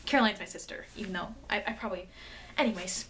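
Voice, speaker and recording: A woman's voice: medium pitch, fast, a bit bright, close to an everyday voice. Flustered, friendly vampire girl played by a woman, noisy recording. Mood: flustered, surprised. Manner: awkward, hesitant, rambling, chatty. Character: friendly, flustered, eager vampire girl, thousands of years old. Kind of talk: ASMR situational roleplay. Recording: noisy amateur microphone, background hiss.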